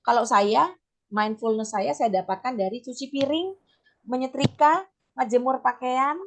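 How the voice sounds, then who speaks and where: A middle-aged woman with a slightly hoarse voice, giving an informal teachers' workshop talk in a chatty, step-by-step way sprinkled with English terms. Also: fairly high pitch, a little slow, noisy background. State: slightly hoarse, voice not back to normal. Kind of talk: informal training talk with personal examples.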